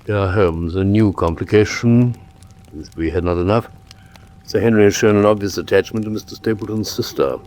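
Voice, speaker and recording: An actor playing an earnest, gentlemanly English detective's companion in a radio drama, with formal, measured diction. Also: male voice, on the low side, close to an everyday voice. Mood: sober, reporting. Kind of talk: radio drama acting, reading letters aloud.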